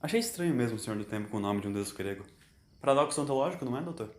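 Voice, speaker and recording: A man's voice: mid-range voice, lively intonation, racing, roomy sound, natural voice. A man voicing a curious young female companion in an audio story, earnest, questioning and easily confused. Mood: curious, sympathetic.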